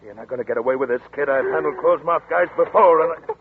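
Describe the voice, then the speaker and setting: An actor playing a tough big-city homicide detective who speaks bluntly and curtly, in 1940s radio acting. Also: male voice, a little high, quick pace, close to an everyday voice. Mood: interrogating. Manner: blunt, curt and commanding. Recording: tinny noisy 1940s radio broadcast.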